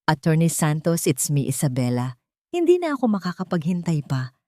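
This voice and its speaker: A woman storyteller plays a wronged wife with a low voice and Filipino accent. She sounds earnest and restrained in a dry, close audio-story recording. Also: wildly swooping pitch, normal speed, dark tone, no room sound. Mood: resolute. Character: wronged wife, pained yet quietly resolute woman.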